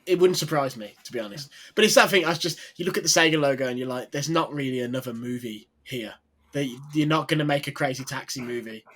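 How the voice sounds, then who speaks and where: A man's voice: medium pitch, fast, a bit bright. A man chatting eagerly on a video call, rambling and trailing off as he talks. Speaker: games journalist, panelist. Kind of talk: casual video call chat.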